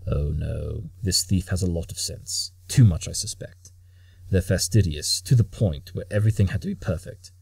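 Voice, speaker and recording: Guy doing a smug genius pony detective, low and husky, clipped, cartoon audio drama. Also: darkish tone, dry recording, heavily acted. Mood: deductive, intent. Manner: clipped, condescending, deliberate. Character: arrogant brilliant male pony detective, coolly deductive.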